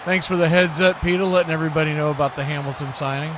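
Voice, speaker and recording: Male play-by-play sports announcer, slightly high voice, folksy and excitable, noisy live recording. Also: rapid-fire. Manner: folksy, excitable on big plays. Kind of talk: live football play-by-play commentary with asides to viewers. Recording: clear background noise.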